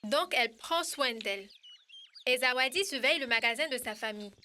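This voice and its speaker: A woman's voice: sits high, expressive, brisk, pushed and bright, slight background noise. A woman voicing a helpful, earnest schoolchild in a children's cartoon. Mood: concerned. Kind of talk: French-language children's cartoon dub.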